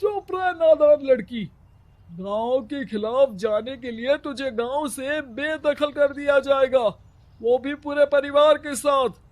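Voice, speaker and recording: A man's voice: extremely high pitch, slow pace. A man voicing a stern, domineering village headman in a cartoon story, speaking harshly and commandingly.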